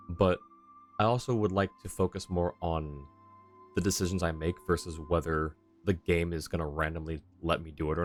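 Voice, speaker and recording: Very deep-voiced male game streamer. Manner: rambling, deliberating, self-correcting. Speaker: video game livestreamer. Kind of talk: casual livestream commentary, thinking aloud. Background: soft game music.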